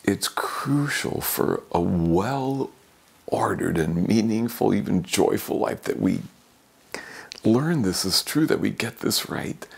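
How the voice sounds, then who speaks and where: An older man, a priest, giving a homily in a slow, gentle, reflective way, sometimes dropping to a soft whisper, from a small office. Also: on the low side, gravelly, slightly rough. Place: small office with bookshelves, indoors.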